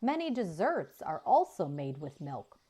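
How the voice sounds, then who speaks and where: A woman voicing a kind, patient female robot in a children's story, measured and sincere. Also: sits low, sing-song, dark tone, slightly rough, heavily acted. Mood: explanatory.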